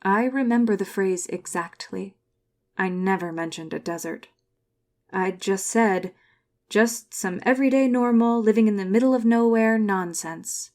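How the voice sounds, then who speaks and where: An actress playing a guarded, wounded woman who narrates her own story in a measured, confessional audio drama style. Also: medium pitch, a little mellow, natural voice. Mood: wary. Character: Florence, guarded, wounded woman recalling her religious school childhood. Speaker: narrator and lead character. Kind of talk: first-person scripted drama narration.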